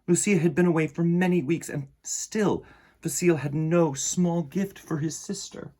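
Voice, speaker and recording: A middle-aged man with a husky voice, hosting a children's storytime in an expressive, theatrical way. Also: fairly high pitch, moderate pace. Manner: theatrical, varied delivery addressed to young listeners. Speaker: children's storytime host and reader. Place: indoor room lined with bookshelves.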